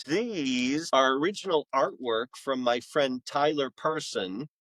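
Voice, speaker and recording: A man's voice: mid-range voice, fairly bright tone, no echo, poor audio. Casual man, close dry sound. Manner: informal, halting, rambling. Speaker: author guest on a podcast. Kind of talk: casual conversational interview.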